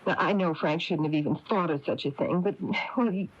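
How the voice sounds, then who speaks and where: An actress playing an anxious, fretful small-town married woman in a 1980s radio drama, with halting, flustered delivery. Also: on the low side, lightly acted. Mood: flustered, confiding. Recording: dull lo-fi AM radio tape.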